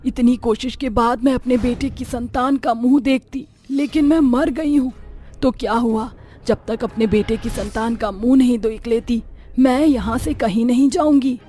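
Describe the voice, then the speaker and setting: A woman's voice: on the high side, normal speed, mellow, lightly acted. A woman plays a devoted older mother-in-law who is secretly a ghostly witch in a cartoon tale. The voice is caring, earnest and dramatic, with music behind it. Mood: anguished, determined. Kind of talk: cartoon story character voice.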